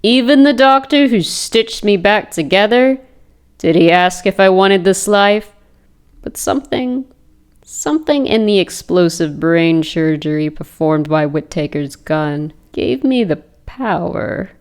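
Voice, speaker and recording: A woman playing a bitter former journalist turned vengeful villainess, telling her story in a theatrical, sardonic, deliberate way. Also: medium pitch, animated, smooth, lightly acted. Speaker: comic dub voice actor. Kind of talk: dramatic first-person narration. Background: background music.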